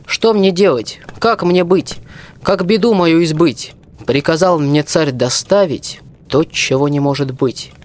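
An actor playing a loyal, plain-spoken young archer in a rhymed fairy-tale radio play. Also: male voice, fairly high pitch, unhurried, lightly acted. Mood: troubled. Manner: folksy rhymed speech.

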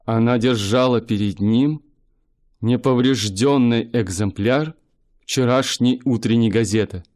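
A man narrating a humorous literary radio play in a measured storytelling manner. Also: medium pitch, a little mellow.

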